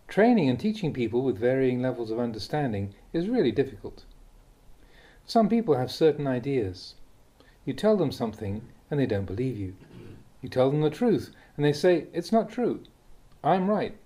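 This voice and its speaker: Guy reading dhamma talk audiobook style, warm relaxed voice, pitch moves around a lot, deliberate phrasing. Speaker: reader of Buddhist teachings.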